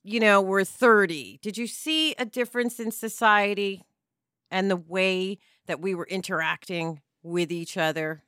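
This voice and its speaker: A woman's voice: medium pitch, steady tone, unhurried, a bit bright, clear, resonant tone, no room sound, studio quality. A middle-aged woman hosting a casual conversation in an informal, playful way. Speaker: podcast host.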